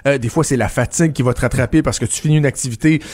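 A man's voice: medium pitch, racing, darkish tone, husky, no echo. A man with a Québécois French accent, a political commentator chatting casually and telling anecdotes with frequent restarts. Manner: storytelling, many restarts and repeated words. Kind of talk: casual radio chat.

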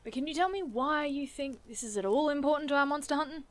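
A woman's voice: sits high, fast, no room sound, natural voice. A woman playing a sardonic, teasing monster hunter in an audio drama, casual and sarcastic in delivery. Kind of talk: audio drama acting, naturalistic dialogue.

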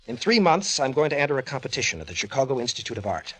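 An actor playing a frustrated, earnest painter, husky and urgent, in a 1950s radio drama. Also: male voice, mid-range voice, big pitch swings, rapid-fire, fairly bright tone. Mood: earnest, strained. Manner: intense, urgent. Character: frustrated, earnest, stubborn male struggling painter.

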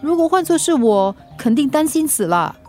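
A caring female friend in a radio drama, high in pitch with a Singaporean accent. She is helpful and reassuring, with a habit of gentle nagging. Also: big pitch swings, normal speed, faint music behind. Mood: concerned, helpful. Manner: solicitous, reassuring.

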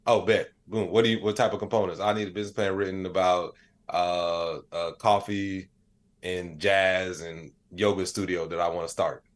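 A man in his late thirties with a fairly low-pitched voice. He talks as an interview guest in a loose, colloquial way, keeping explanations plain-spoken and conversational, sometimes voicing bits of imagined dialogue. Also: level tone, moderate pace. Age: late 30s. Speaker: interview guest, AI educator and comedian. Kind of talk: casual conversational interview answers.

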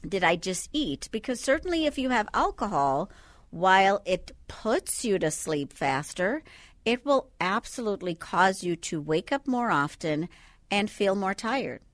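A woman from the Bahamas, a sleep physician, calmly explaining things step by step as a guest in a radio studio. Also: mid-range voice, measured pace, no room sound. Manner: calm, patient, explains step by step. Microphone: studio microphone.

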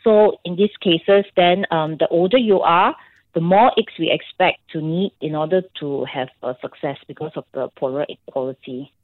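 A woman doctor with a Singaporean English accent explains things in a radio interview, pausing often with ums. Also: deep voice, dry recording. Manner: explanatory, with many ums and pauses. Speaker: fertility doctor guest. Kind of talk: radio interview on a phone line. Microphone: phone. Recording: tinny phone line, dry.

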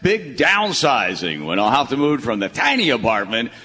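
An actor playing a cantankerous, theatrical old retired broadcaster, folksy and blustery, in a live radio comedy. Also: male voice, mid-range voice, wildly swooping pitch, normal speed, sharp tone. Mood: wry, folksy. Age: older. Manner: folksy, blustery, stammering when worked up. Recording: echoey live stage hall.

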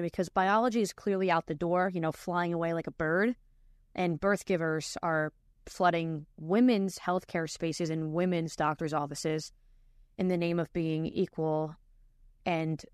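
A woman's voice: fairly low pitch, dry recording, clean studio sound. A woman hosting a podcast, giving casual reaction commentary in a chatty way with lots of fillers and restarts. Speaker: podcast host.

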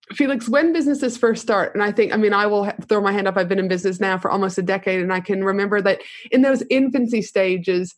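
A woman's voice: mid-range voice, no echo, studio quality. A woman hosting a podcast interview, talking in a chatty, upbeat way with long run-on sentences. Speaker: podcast host. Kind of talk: casual interview chat.

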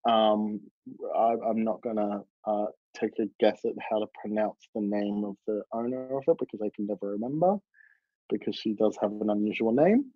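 A young man with an Australian accent speaks earnestly and reflectively, pausing on fillers as he thinks aloud. His voice sits a little low, and the recording sounds dry and slightly muffled. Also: no echo. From Melbourne, Australia. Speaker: guest, drag performer. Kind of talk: casual podcast interview.

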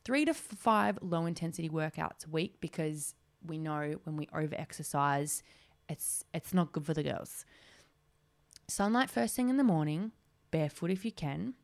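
A woman's voice: sits low, lively intonation, moderate pace, vocal fry. A woman in her twenties hosting a solo podcast, talking in a chatty, rambling way with lots of "like". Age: 20s. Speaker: podcast host.

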